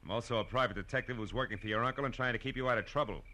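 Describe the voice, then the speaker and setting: An actor playing a cynical, wisecracking private detective with an American accent in a 1940s radio drama, talking in sardonic, clipped lines. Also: male voice, mid-range voice, very fast, bright, forceful tone, close to an everyday voice. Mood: tough, sardonic. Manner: sardonic, clipped tough-guy talk. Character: hard-boiled, wisecracking male private detective, tough and cynical. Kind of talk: 1940s radio detective drama acting and first-person narration. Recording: noisy old radio drama recording.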